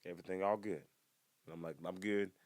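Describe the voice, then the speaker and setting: A low-voiced man in his twenties talks through a casual comedy podcast monologue. He stays relaxed, then jumps into loud, high-pitched bursts when he acts out other people. The sound is dry and close, with no room echo. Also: brisk. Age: 20s.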